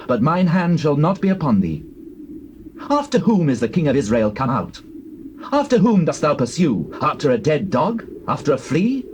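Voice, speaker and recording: An actor playing an earnest, righteous young warrior in dramatized scripture, speaking in declamatory archaic phrases. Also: male voice, on the high side, darkish tone. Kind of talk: dramatized scripture, classical stage acting. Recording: hissy old cassette tape transfer.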